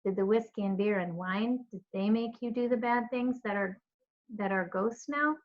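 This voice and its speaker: A woman's voice: medium pitch, normal speed, lo-fi sound, lightly acted. A woman voicing an earnest, curious, polite young girl in a storybook, in a plain questioning way.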